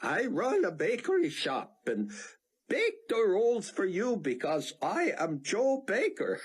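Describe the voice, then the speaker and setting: A man's voice: high-pitched, huge pitch swings, measured pace, creaky, slightly rough, pitched above the performer's own voice, heavily acted. A man voicing an older male rabbi, giddy and half-breathless with laughter. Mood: laughing.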